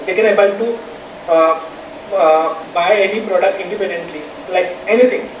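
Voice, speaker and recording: A panel speaker with an Indian accent giving a semi-formal presentation for a startup, speaking in a halting way and often repeating words. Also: mid-range voice. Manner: halting, repetitive phrasing. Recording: distant echoey mic with clear background noise.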